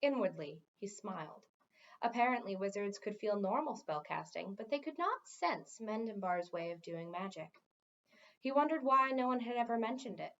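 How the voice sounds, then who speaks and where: A woman's voice: medium pitch, animated, moderate pace, a bit bright, muffled recording. A woman reading a storybook aloud expressively, as a teacher does for listeners.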